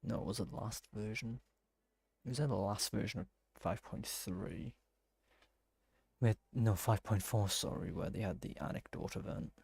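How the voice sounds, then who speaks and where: A man's voice: fairly low pitch, unhurried, creaky, darkish tone, breathy edge, no room sound. A man giving casual game playthrough commentary, thinking aloud and correcting himself as he goes. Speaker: game streamer.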